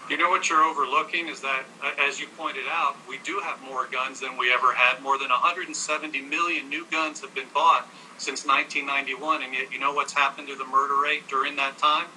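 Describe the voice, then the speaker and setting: A man's voice: medium pitch, level tone, brisk, pushed and bright, a little hiss or hum, poor audio. An American man arguing assertively as an advocate in a televised interview, correcting his host. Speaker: gun-rights advocate, interview guest. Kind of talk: televised debate, arguing with statistics.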